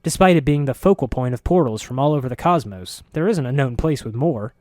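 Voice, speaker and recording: A man reading a story voices a curious, sharp-spoken middle-aged woman who talks in a quick, insistent way. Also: medium pitch, expressive, dry recording, clean studio sound, close to an everyday voice. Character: curious sharp-spoken woman, neither young nor old, newcomer.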